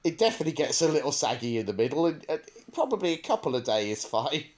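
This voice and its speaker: A man's voice: on the high side, sing-song, fast, darkish tone. A man hosting a podcast in casual review chat, thinking aloud in a discursive way. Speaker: podcast host.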